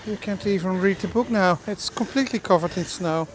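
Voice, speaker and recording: Relaxed outdoor vlog chat, faint noise behind. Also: mid-range voice, fairly quick. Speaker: vlogger. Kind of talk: casual walking vlog chat. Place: outdoors walking in a snowy theme park.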